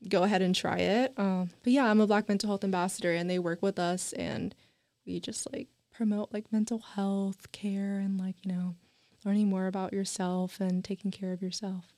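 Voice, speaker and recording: A woman's voice: mid-range voice, fairly even intonation, moderate pace, a little mellow. A woman in her twenties, a college student chatting casually on a panel, talkative and emphatic with frequent laughs. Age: 20s. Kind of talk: casual group chat.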